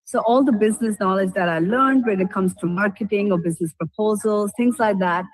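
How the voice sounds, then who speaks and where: A woman's voice: mid-range voice, fairly quick, rough recording. A young woman, giving a personal testimonial as a graduate who studied abroad. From India. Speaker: business graduate, study-abroad alumna.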